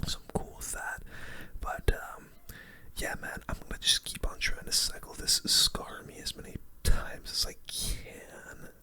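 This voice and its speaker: A host giving relaxed, casual game commentary entirely in a breathy whisper, in an ASMR style close to the microphone. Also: very high-pitched. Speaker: gaming video host.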